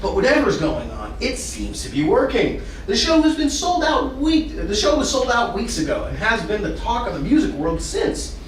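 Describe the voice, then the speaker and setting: A man's voice: sits high, huge pitch swings, moderate pace, hardly any pauses, voice pushed up. A man reading the part of a hyped TV news reporter narrating a rock opera in a broadcast style. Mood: upbeat, hyping. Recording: very echoey room, distant mic, hiss.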